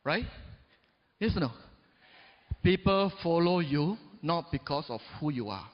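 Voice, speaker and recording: A man's voice: animated, a little slow. A man in his forties giving a motivational stage speech, emphatic and often asking the crowd "right?" and "yes or no?". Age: 40s. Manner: peppers talk with "right?" and "yes or no?" to the audience; emphatic, swings between loud rises and low drops. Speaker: motivational speaker. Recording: dull recording in a big reverberant hall.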